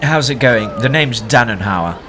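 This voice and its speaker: An actor playing a sceptical navigator on an Arctic expedition ship in a radio play. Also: male voice, medium pitch, lively intonation, moderate pace, a crowd in the background, noisy background, lightly acted. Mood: easygoing. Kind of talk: radio drama acting.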